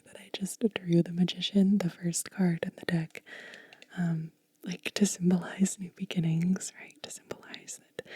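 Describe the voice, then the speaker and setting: Female ASMR host, hushed breathy whisper, very dark soft tone, gentle unhurried show-and-tell, close and dry. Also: mid-range voice, no room sound.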